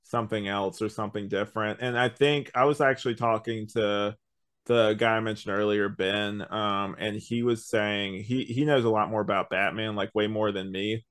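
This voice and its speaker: Low-voiced man chatting casually. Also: fairly even intonation, moderate pace, a bit bright, clean tone. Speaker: podcast co-host reviewing an audio drama. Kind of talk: casual chat.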